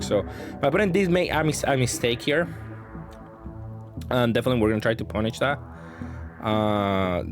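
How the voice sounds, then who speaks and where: A man giving casual gameplay commentary, thinking aloud in a hesitant way as he plays. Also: medium pitch. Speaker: card game player presenting match commentary. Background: music.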